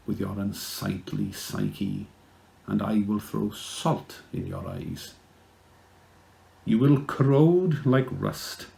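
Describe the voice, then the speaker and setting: A man with a Welsh accent reciting poetry in a deliberate, theatrical manner. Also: on the low side, gravelly, mellow, slight background noise. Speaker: poet.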